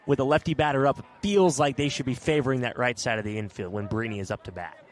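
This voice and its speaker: A man's voice: mid-range voice, fast. A man working as a color commentator, giving conversational analysis with modest, tentative opinions during a live game.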